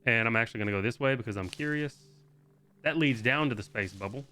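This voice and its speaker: Bright-voiced man doing game walkthrough commentary. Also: medium pitch, quick pace, slight background noise. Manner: informal, swears freely. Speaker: video game guide host. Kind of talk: casual gameplay walkthrough commentary.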